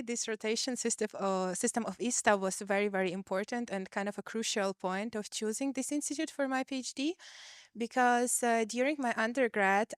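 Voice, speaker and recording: Young female PhD student on a video call; smooth, clear voice, thoughtful and earnest. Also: a little high, no room sound, studio quality. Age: young adult. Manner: thoughtful, many fillers, earnest. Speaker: PhD student, panel guest. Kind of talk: casual panel answers.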